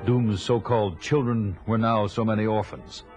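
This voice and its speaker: A man's voice: on the low side, fairly even intonation, moderate pace, lightly acted. An actor playing a vengeful, battle-hardened barbarian who tells his own tale in solemn, declamatory audio drama style. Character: vengeful, battle-hardened male barbarian warrior, grim and proud. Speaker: narrator and lead actor. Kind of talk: dramatic first-person audio drama narration. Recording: loud music behind the voice.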